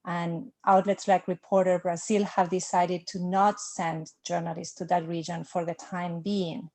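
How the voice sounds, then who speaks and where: A woman moderating an online panel, asking long, measured questions over a video call. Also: lowish voice, flat delivery, a little mellow.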